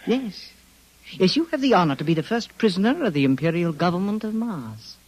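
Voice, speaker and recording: A husky, fairly high male voice plays a smug Martian invader in an old radio drama. His delivery is formal and theatrical, with lively swings of pitch. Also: a little fast. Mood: gloating menace. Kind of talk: 1950s radio drama acting.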